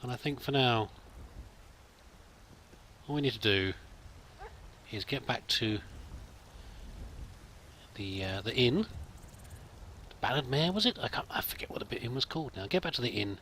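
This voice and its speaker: A man hosts a roleplay let's play in a casual, polite and rambling way, often hesitating. His voice is bright and mid-pitched, with faint noise and game ambience behind it. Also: animated, normal speed, pauses often.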